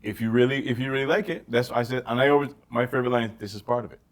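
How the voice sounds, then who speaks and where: A man's voice: mid-range voice, very fast. A man co-hosting a casual chat, often repeating words and phrases for emphasis. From Barbados. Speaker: podcast co-host and stand-up comic.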